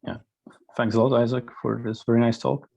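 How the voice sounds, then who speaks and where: A man with a heavily creaky, husky voice moderates an online seminar informally. His tone is soft-edged and mellow, and the call audio is a little dull. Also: mid-range voice, flat delivery, brisk. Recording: slightly muffled call audio.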